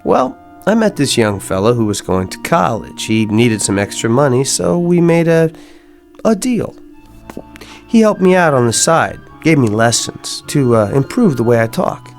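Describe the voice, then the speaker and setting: A man's voice: mid-range voice, very animated, moderate pace, faint music behind. An actor with an American accent playing a slick, boastful, pushy man on the make in a radio drama, speaking with smug insistence.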